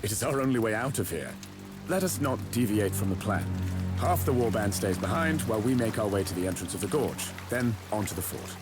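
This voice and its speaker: An actor playing an honourable medieval knight in a video game, speaking in a formal, composed manner. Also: male voice, fairly low pitch, lively intonation, brisk, close to an everyday voice. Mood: measured, firm. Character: steadfast male medieval knight, measured, honourable. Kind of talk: dramatic video game acting. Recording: echoey, slightly distant, music underneath.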